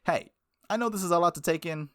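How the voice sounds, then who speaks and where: A man voicing a sweet, frank and gently teasing young woman in a visual novel. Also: sits high, fast, pitched above the performer's own voice, lightly acted. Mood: sympathetic. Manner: frank, teasing, matter-of-fact.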